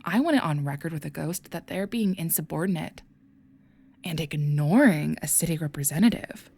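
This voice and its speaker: A seductive demon in a woman's body, acted by a woman for an audio roleplay. The voice is low and creaky, slipping into breathy whispers as it mocks and teases in drawn-out phrases. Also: lively intonation, warm tone, exaggerated voice. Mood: mock agreement. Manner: mocking, teasing, drawn-out phrasing.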